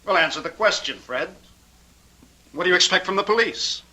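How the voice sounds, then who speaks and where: An actor playing a bitter, menacing husband with sardonic, deliberate delivery in an old-time radio drama. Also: male voice, high voice, animated, bright, forceful tone, heavily acted. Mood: cold, menacing.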